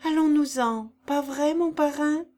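A woman's voice: high voice, unhurried, voice pushed up. A woman voicing a sweet, simple young peasant girl, dazed and talking in a naive rustic way. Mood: uneasy. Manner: naive rustic speech, bright and eager.

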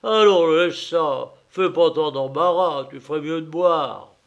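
A man voicing a rowdy drunk masked man who bosses and mocks everyone in a loud, jeering way. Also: fairly high pitch, a little slow, creaky, lo-fi sound, pitched above the performer's own voice.

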